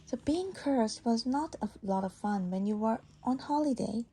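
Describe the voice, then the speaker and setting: Husky, mellow-voiced woman reading a storybook aloud. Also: a little high, moderate pace. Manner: expressive, explanatory, checks the listener follows. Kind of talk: informal read-aloud storytelling with asides.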